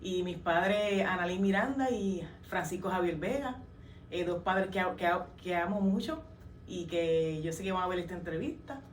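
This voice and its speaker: A woman's voice: lowish voice, moderate pace. Puerto rican woman, earnest testimony, hesitant fillers, roomy distant mic, faint hiss behind. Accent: Puerto Rican Spanish. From Puerto Rico. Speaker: guest, worship singer. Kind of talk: testimony in an interview.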